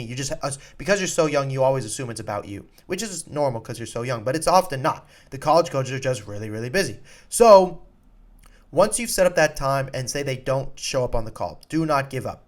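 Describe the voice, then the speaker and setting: A man hosting an informal advice talk as a coach, speaking directly and emphatically and repeating his key points. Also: mid-range voice. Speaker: college soccer recruiting coach and podcast host.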